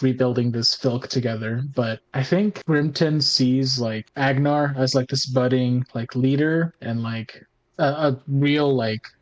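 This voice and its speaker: A man speaks in a casual, conversational way at an average pitch for a man. He thinks aloud informally, as a podcast co-host among friends would. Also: dull audio. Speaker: podcast host and tabletop player. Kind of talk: casual chat.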